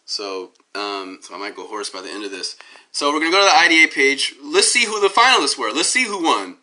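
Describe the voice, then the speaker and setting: Hoarse man talking into his phone. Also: medium pitch, sing-song, a little fast, bright, forceful tone, low-quality recording. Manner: tangential, many fillers and restarts. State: hoarse from a cold. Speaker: DJ giving his side of a dispute. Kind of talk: rambling on-camera commentary while browsing web pages. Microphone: phone held up at arm's length.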